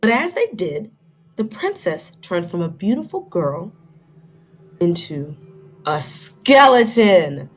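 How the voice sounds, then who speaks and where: A woman's voice: on the low side, very animated, slow pace, faint music behind. A woman reading aloud to children like a teacher, dramatic and inquisitive, stopping to put questions to young listeners.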